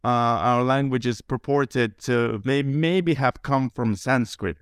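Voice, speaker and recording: Podcast guest: man, smooth dry voice, earnest explainer with wry wit. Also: medium pitch, takes it slowly, no room sound. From Lithuania. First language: Lithuanian. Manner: earnest, explanatory, dryly humorous. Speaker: guest, translator and philosopher. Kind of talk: casual podcast conversation.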